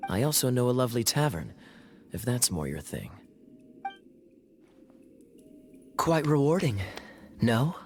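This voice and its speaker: A man playing a suave, teasing young knight captain in a video game, with a sly, smooth-talking, theatrical delivery. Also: mid-range voice, big pitch swings, moderate pace, darkish tone, faint music behind. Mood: amused, smooth.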